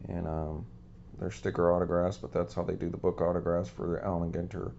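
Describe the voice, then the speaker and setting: Low, gravelly man with a scratchy voice. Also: level tone, fairly quick, mellow, lo-fi sound. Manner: easygoing, rambling with many ums. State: slightly scratchy voice. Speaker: card collecting channel host. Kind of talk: casual show-and-tell of cards.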